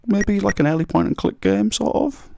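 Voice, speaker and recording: Croaky man rambling over gameplay. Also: sits high, moderate pace, warm tone, no echo, clean studio sound. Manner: rambling, self-deprecating, hesitant with many ums. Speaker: retro gaming YouTuber. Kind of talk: casual gameplay commentary.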